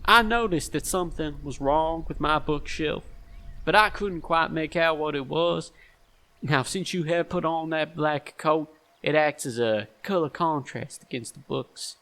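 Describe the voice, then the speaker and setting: An actor playing a stern, shrewd, self-righteous man in an audio stage drama, speaking in a deliberate, accusatory and emphatic way. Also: fairly high pitch, pushed and bright. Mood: accusing.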